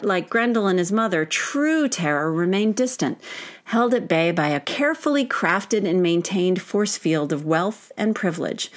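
A middle-aged woman, an author, reading her own essay as scripted narration with expressive, deliberate phrasing. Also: on the low side.

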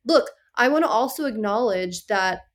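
A woman's voice: on the high side, lively intonation, moderate pace. A woman in her thirties speaking frankly in a casual talk, direct and reassuring. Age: 30s. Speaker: podcast guest. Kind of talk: casual conversation.

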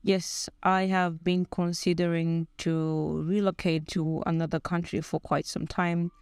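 An exam candidate with a Zambian accent answers questions carefully and formally. The voice is smooth and clear with noticeable creak, in a dry recording. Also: mid-range voice, level tone, a little slow, no echo.

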